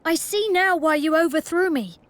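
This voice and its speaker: A woman's voice: very high voice, normal speed, a bit bright, dry recording. A woman voicing an earnest young boy king in a video game. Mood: earnest, regretful.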